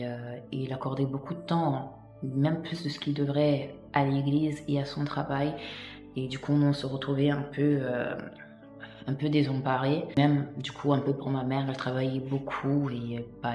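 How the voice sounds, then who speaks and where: A woman's voice: rumbling low, little pitch movement, moderate pace, warm tone, reverberant, faint music behind. A woman from Italy sharing her personal faith testimony in a vlog, earnest and hesitant, with many fillers and restarts.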